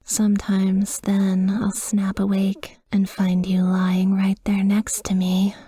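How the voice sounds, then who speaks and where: A woman playing a tender, tormented vampire lover in a slow, breathy, whispered ASMR roleplay, hushed and intimate. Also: medium pitch, completely flat delivery, no room sound, studio quality. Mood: loving, melancholy. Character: tender, tormented female vampire lover watching over her sleeping partner.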